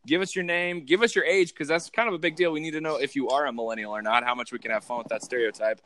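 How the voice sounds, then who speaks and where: A man's voice: on the high side, fairly even intonation, quick pace, fairly bright tone. A man hosting a podcast in casual chat, talking with many fillers and restarts. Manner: rambling, hesitant with many fillers. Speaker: podcast host and pastor.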